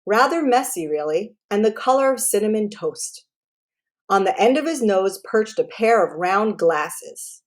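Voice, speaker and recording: A woman narrating a children's book aloud as a storyteller, in an expressive, deliberate way, phrased clearly for young listeners. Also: mid-range voice.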